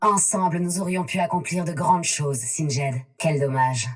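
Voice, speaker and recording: A proud female villain from a video game, speaking fairly low with measured, taunting menace. She sounds contemptuous and commanding, like someone who owns the city. Faint music sits behind the lines. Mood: mocking regret.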